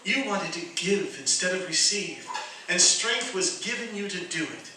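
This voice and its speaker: A man's voice: sits high, expressive, normal speed, noisy background. A man playing a noble spirit who preaches solemnly, in old-time radio drama style. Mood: gentle, solemn. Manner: solemn, oratorical. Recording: echoey stage, distant microphone.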